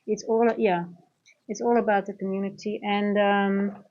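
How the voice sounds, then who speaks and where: A woman with a Dutch accent hosts a casual livestream, speaking conversationally to her viewers. Her voice sits at a medium pitch for a woman. The audio is dull and lo-fi, lacking some detail. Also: flat delivery, measured pace, a little mellow. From Netherlands. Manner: informal, conversational, addressing chat directly. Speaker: livestream host, trading coach.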